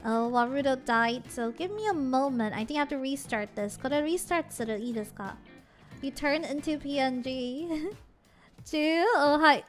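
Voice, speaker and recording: A woman's voice: high voice, measured pace, faint music behind. A woman streamer chatting casually and giggling, switching between English and Japanese. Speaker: VTuber streamer. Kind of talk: casual live-stream chat.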